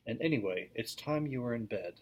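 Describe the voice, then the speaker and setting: A man's voice: medium pitch, animated, brisk, slight background noise, lightly acted, poor audio. A man reading aloud, voicing a sensible, motherly English schoolgirl with a prim, scolding manner.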